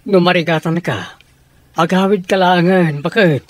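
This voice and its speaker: A man's voice: high voice, measured pace, no echo. An actor with an Ilocano accent playing a worried, caring middle-aged father in a radio drama. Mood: worried, tender.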